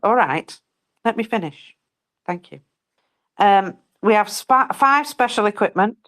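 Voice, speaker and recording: A woman's voice: mid-range voice, expressive, a little slow, a bit bright. A woman co-hosting a casual live stream, reading out daily figures with blunt, sweary asides while she loses her voice. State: losing her voice.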